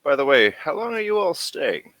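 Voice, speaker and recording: A man playing a courtly male general in a tabletop game, talking in a measured, courteous manner. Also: on the high side, big pitch swings, sharp tone, pitched above the performer's own voice, heavily acted. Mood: guarded, measured. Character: courtly male general, gracious, slightly flirtatious.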